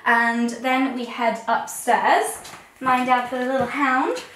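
A young English woman with a fairly high voice presents a chatty home tour. She talks informally to a camera a few steps away, so the sound is echoey and a little distant. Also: takes it slowly, fairly bright tone. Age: young adult. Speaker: lifestyle vlogger and host. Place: rooms of a house, indoors. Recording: echoey rooms, distant mic, some noise.

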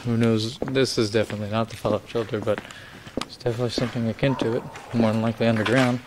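A man's voice: medium pitch, flat delivery, fast. A young man filming himself exploring an abandoned factory, talking casually with a self-mocking, easygoing manner. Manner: laid-back, self-mocking, chuckling. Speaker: vlogger filming an urban exploration. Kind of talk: casual walking commentary. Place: outdoors and inside an abandoned factory. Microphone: handheld camera mic. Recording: camera mic with outdoor noise.

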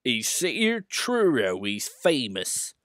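A man's voice: mid-range voice, huge pitch swings, slow, a bit bright, dry recording, clean studio sound, full character voice. A man putting on Duck, a shy earnest little engine. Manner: eager, polite and a little shy. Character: small earnest shy male tank engine.

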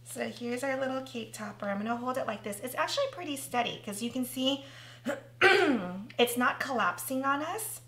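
A woman's voice: sits high, expressive, normal speed, fairly bright tone, echoey room. A woman hosting a casual craft tutorial, thinking aloud as she works, and losing her voice. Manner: chatty, thinking aloud while working.

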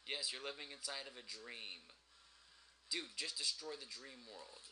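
Young man, very sharp bright voice, gaming commentary. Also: mid-range voice, unhurried, a little hiss or hum, low-quality recording. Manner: rambling, sardonic, swearing complaints. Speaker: gamer, let's play host. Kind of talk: casual gameplay commentary. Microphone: shared microphone, home gameplay recording.

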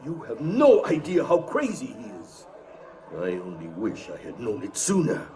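Mellow, cagey crime boss, swooping pitch. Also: male voice, huge pitch swings. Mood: confiding, wary. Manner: formal, measured, politely evasive. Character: smooth, cagey older male crime boss and casino owner. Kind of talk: video game cutscene acting.

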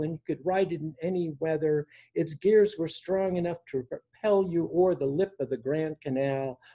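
A man in his sixties reading poetry aloud in a measured way, pausing between phrases, recorded at home on a video call. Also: fairly high pitch, warm tone, poor audio. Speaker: poet reading own work. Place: indoor room at home. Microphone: device mic on a video call.

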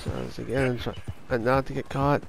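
A young man with a hoarse voice chatting casually over his gameplay as a gaming host, swearing when things go wrong. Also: mid-range voice, fairly even intonation, normal speed, a little hiss or hum. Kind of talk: casual let's-play commentary.

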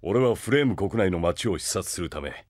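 Deep, commanding king. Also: lively intonation, normal speed, a little mellow, no echo. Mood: solemn, reflective. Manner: grave, authoritative. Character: commanding, thoughtful king recounting his past. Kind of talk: anime audio drama storytelling.

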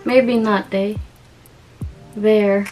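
A woman's voice: mid-range voice, fairly even intonation, extremely slow, a little mellow, soft background music, poor audio. A young woman with a Filipino accent, talking casually through a beauty haul with lots of ums and little giggles. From Philippines. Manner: chatty, giggly, with many ums and restarts. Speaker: beauty vlogger.

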